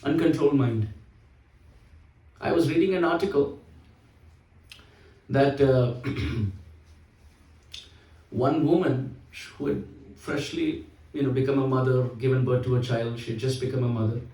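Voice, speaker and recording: A man's voice: mid-range voice, a little slow, long pauses, warm tone, far from the mic. Emphatic man preaching in an echoey hall. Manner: emphatic, repeats key phrases. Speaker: spiritual speaker. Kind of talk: sermon.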